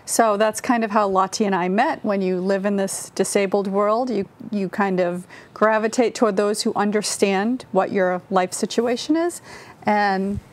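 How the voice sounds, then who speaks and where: Relaxed woman, studio guest. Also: mid-range voice, moderate pace. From Massachusetts. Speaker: guest, nonprofit cofounder. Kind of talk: interview answers.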